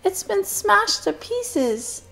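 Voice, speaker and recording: A woman's voice: very high-pitched, sing-song, drawn-out words, vocal fry, a bit bright, faint music behind, voice pushed up, exaggerated voice. A woman reading a storybook and voicing a small, shy little boy who wails over his losses. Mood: wailing. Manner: wailing, then shy and kind.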